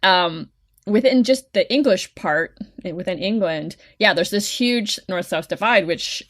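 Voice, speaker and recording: A woman's voice: medium pitch, animated, unhurried. A woman co-hosting a podcast, explaining things in a loose, chatty way. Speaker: podcast co-host. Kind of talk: casual chat.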